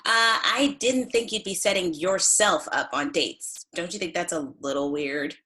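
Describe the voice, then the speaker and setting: A woman's voice: fairly low pitch, unhurried, pushed and bright. A woman in a sitcom table read playing a blunt, awkward single woman, hesitant and conversational.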